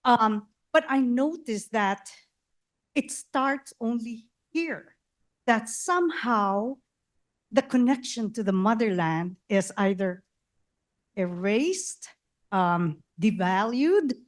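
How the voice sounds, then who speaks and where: A woman's voice: on the high side, expressive, slow pace. A woman with a Filipino accent from the Philippines, politely asking a panel a question from the audience on a handheld mic. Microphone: handheld microphone passed through the audience.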